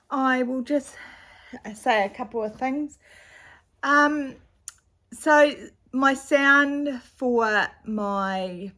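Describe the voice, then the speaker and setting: A middle-aged woman with a slightly croaky voice, chatting casually at home about her cross-stitch projects with a rambling, chatty manner, recorded on a camera's built-in mic. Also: mid-range voice, fairly bright tone. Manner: chatty, rambling, with fillers and asides. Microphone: camera's built-in mic, no external microphone.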